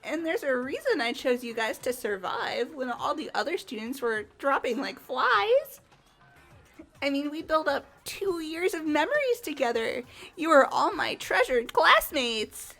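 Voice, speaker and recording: A woman's voice: high-pitched, very animated, a little slow, fairly bright tone, soft background music, heavily acted. A woman voicing a flippant, manipulative young female villain in a fan-dubbed video game, breezy and smug.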